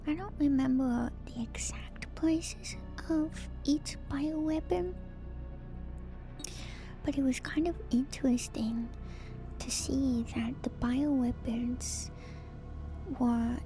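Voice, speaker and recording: A woman streamer whispering an ASMR lore commentary to her viewers in a hushed, musing, unhurried way. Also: sits high, fairly even intonation, low-quality recording. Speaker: VTuber streamer. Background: music.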